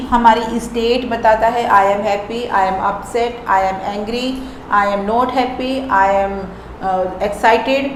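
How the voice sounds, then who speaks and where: A woman teaching English grammar, explaining patiently and repeating example sentences. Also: on the high side. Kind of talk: classroom explanation mixing Hindi and English. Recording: echoey room with faint noise.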